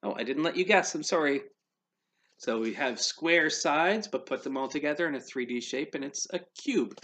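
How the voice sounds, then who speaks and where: A man reading a storybook aloud to children in an expressive, teacherly way, putting questions to his listeners. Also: a little high. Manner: pauses to quiz young listeners.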